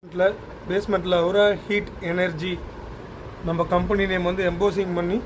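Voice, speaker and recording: Male product demonstrator, high register, little intonation, halting unscripted explanation with English terms, noisy recording. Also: little pitch movement. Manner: halting, repeats words while explaining.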